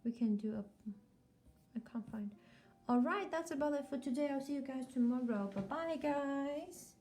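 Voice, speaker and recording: Mellow-voiced woman hosting children's storytime. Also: high-pitched, animated, normal speed. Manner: chatty, encouraging, asks children lots of questions. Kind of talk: casual live-stream chat with children.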